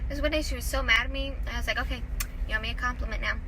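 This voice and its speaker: A woman's voice: steady tone, rapid-fire. A young woman chatting casually in a truck cab, wry and teasing with her co-host. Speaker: team truck driver and video co-host. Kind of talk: casual vlog chat. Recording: loud engine and road noise. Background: vehicle rumble.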